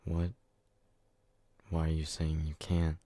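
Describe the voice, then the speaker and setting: A man's voice: extremely low pitch, completely flat delivery, slow, mellow, no room sound. A man playing a devoted, brooding, hot-headed but tender yandere in an ASMR roleplay, speaking with earnest intensity. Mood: pleading.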